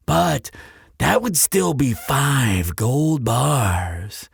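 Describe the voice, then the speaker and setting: A man's voice: mid-range voice, vocal fry, husky, no echo, clean studio sound, heavily acted. A man playing a courteous, slyly persuasive demon hotel receptionist in a scripted roleplay addressed to the listener, polite and coaxing. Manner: unhurried, polite, coaxing salesmanship. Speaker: resort front-desk clerk.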